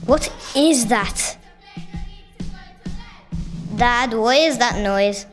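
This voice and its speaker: A woman's voice: a little high, very slow, soft background music. A young female performer playing a sleepy, puzzled, game-obsessed school pupil in a radio play. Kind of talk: scripted radio play acting.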